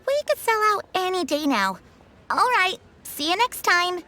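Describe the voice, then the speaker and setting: A woman's voice: very high-pitched, very animated, moderate pace, bright, forceful tone, heavily acted. A woman voicing a polite, businesslike young shopkeeper in a video game, with courteous sales patter.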